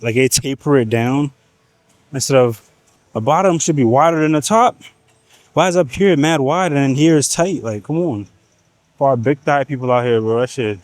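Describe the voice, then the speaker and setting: A man's voice: mid-range voice, a little mellow, no room sound. A man from Nigeria whose first language is Igbo, rambling casually in a gym vlog with slang and swearing. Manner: slangy, profane, rambling asides. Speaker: fitness vlogger.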